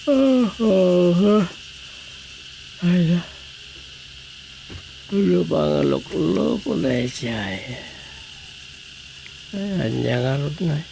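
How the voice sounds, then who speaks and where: A man's voice: sits high, lively intonation, extremely slow, vocal fry, long pauses, warm tone, a little hiss or hum, exaggerated voice, low-quality recording. An actor playing a suspicious, grumbling man in a radio drama, muttering and sighing as he talks. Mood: exasperated.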